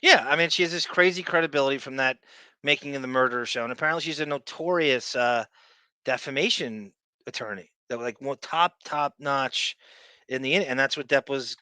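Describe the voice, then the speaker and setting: A man's voice: mid-range voice, brisk, sharp tone, clear, resonant tone, no room sound. A man hosting a podcast in casual chat, rambling and often searching for his words. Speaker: podcast host.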